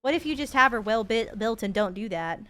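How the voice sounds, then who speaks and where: A woman's voice: a little high, animated, brisk, pushed and bright. A woman in her thirties giving casual, playful gaming stream commentary, full of slang and swearing. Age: 30s.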